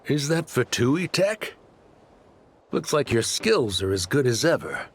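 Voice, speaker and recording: A man's voice: mid-range voice, sing-song, measured pace, breathy edge, heavily acted. A man voicing a smug, mercenary crime boss in a video game, with a glib, taunting manner. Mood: cocky.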